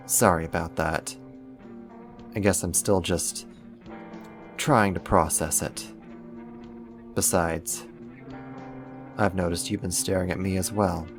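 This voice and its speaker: A man acting in an ASMR roleplay as a caring, wry young supervillain roommate, speaking softly and conversationally with hesitant pauses and gentle teasing. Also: sits low. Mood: soft, evasive.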